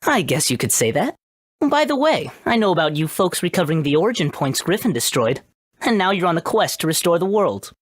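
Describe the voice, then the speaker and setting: A woman's voice: on the low side, sing-song, a little fast, no echo, clean studio sound. A woman voicing a brainy, self-assured boy who heads a research lab in a video game, matter-of-fact and bossy. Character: confident brainy boy genius, head researcher.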